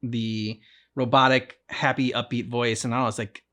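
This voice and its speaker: A man's voice: medium pitch, flat delivery, fairly bright tone. Rambling man in casual game chat. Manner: rambling, halting, trailing off. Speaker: reaction channel host. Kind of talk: casual reaction chat about a video game.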